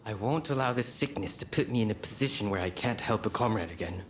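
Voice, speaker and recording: A man's voice: on the low side, lively intonation, quick pace, a little hiss or hum, close to an everyday voice. A man voicing a grave, stubborn and loyal ailing samurai swordsman in a dramatic video game cutscene. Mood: resolute.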